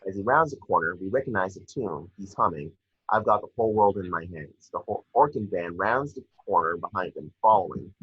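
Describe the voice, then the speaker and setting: A man's voice: lowish voice, fairly bright tone, husky. A man reading scene descriptions as scripted narration for an amateur table read, on a lo-fi microphone. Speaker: action description reader. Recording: dull, lo-fi microphone sound.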